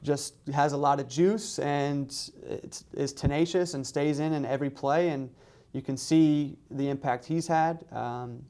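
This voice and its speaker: Male coach, interview answers. Smooth clear voice, fairly flat pitch, hesitant word-by-word phrasing. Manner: halting, hesitant phrasing with repeated words. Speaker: head soccer coach. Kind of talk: media day interview answers.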